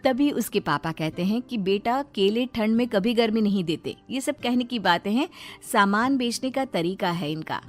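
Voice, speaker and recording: A woman's voice: mid-range voice, lively intonation, moderate pace, hardly any pauses. A woman narrator reading a scripted story for a cartoon.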